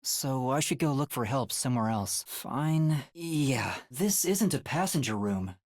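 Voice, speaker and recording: A man voicing a timid, earnest young male trainee detective in a video game, with a light, soft, boyish voice and a hesitant manner that stammers when flustered. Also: mid-range voice, animated, a little slow, no room sound, studio quality. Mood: curious, focused. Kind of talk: video game voice acting, dramatic lines.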